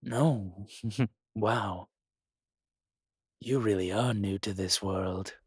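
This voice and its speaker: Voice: low voice, extremely slow, husky, no echo, clean studio sound, full character voice. An actor playing an ancient, powerful spirit, sardonic, proud and condescending, in an audio roleplay drama.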